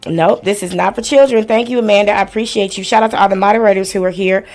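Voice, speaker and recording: A woman's voice: medium pitch, steady tone, quick pace, darkish tone. A woman in her forties with a mid-range voice hosts a casual livestream. She talks straight to her viewers in loose, slangy speech. Her manner is blunt and playful, with swearing dropped in freely. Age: 40s. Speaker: livestream host. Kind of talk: casual livestream chat with house rules and shout-outs.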